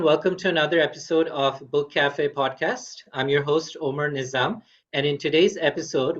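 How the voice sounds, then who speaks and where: A man's voice: on the high side, fairly bright tone, muffled recording. A man hosting an interview podcast, polite and formal, with frequent fillers. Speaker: podcast host.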